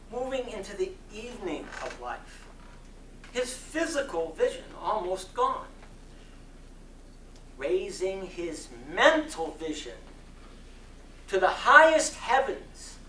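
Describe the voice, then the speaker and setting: A man performing a dramatic sermon reading in an oratorical style, with solemn, emphatic phrasing and long deliberate pauses. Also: high voice, bright, forceful tone. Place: community center hall before an audience. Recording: roomy echo, distant mic, faint noise.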